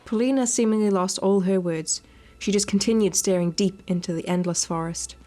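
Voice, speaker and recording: A woman's voice: mid-range voice, a little fast. Woman reading a game aloud over music. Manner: expressive reading, teasing asides in coarse language. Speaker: video game streamer. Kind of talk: reading visual novel text aloud with joking commentary. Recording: music under the voice.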